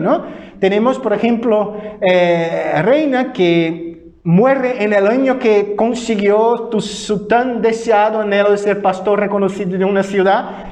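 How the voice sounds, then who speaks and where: A man with a distinctly high-pitched voice and a Brazilian accent speaks as a native Portuguese speaker. He lectures like a pastor teaching his congregation, informal and didactic. He keeps punctuating his explanations with short checking questions. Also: a little mellow. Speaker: pastor giving a church history lecture.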